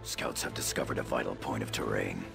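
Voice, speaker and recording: A man's voice: a bass voice, fairly quick, gravelly, music in the background, exaggerated voice. A man playing a huge, sombre Space Marine elder in a video game, with formal, cautious gravity. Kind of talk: video game character acting.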